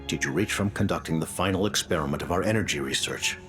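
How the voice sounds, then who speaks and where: An actor playing a composed, courteous but cold male leader in a video game, speaking in a measured, formal way. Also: rumbling low, over music. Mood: grave, commanding. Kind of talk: video game cutscene acting.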